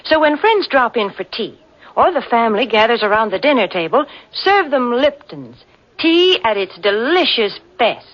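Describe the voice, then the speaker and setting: A woman's voice: sing-song, normal speed. A woman reading a cheerful, polished commercial on a 1940s radio show. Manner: cheerful, polished sales pitch. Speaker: announcer reading a tea ad. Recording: dull old radio recording.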